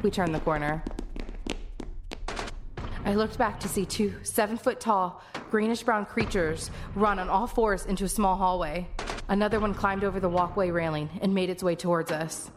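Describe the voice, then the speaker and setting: A woman's voice: mid-range voice, moderate pace, noisy background, natural voice. A woman playing a tense survivor who narrates an alien invasion in the first person for an audio drama. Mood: urgent, tense.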